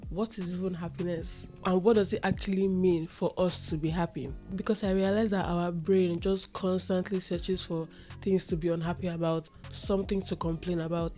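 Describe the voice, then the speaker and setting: A woman talks through a motivational podcast episode on her own. Her voice is mellow and a little nasal because she is recovering from a runny nose and an itchy throat. She sounds earnest and reflective, leans on repetition to drive her points home, and soft music plays faintly underneath. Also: lowish voice, steady tone, moderate pace. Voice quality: slightly nasal. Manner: earnest, reflective, repeats phrases for emphasis. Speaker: podcast host. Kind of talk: solo motivational podcast talk.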